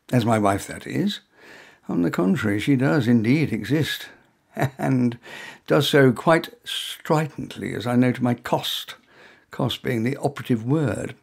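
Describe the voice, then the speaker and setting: A man's voice: mid-range voice, vocal fry, a little mellow, slightly rough. A middle-aged man reading his own short story in the first person, in a dry, wry, conversational way, full of asides and hesitations.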